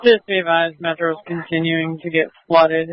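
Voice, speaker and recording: A man's voice: on the high side, level tone, normal speed. A man working as a police dispatcher, giving procedural updates over a police radio. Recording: dull lo-fi police radio audio.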